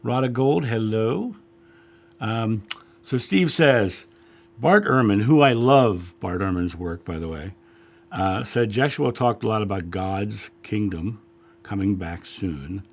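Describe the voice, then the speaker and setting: A man hosting a spiritual livestream, chatting casually and greeting viewers by name, his voice a little raspy after a long week. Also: on the low side, lively intonation, takes it slowly. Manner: friendly, chatty, reads names from chat with frequent fillers. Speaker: livestream host, spiritual guide. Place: home studio.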